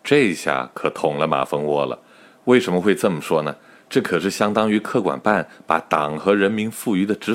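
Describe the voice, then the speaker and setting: A man playing a wry storyteller, narrating a satirical audio drama in a mock-solemn manner. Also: very deep voice.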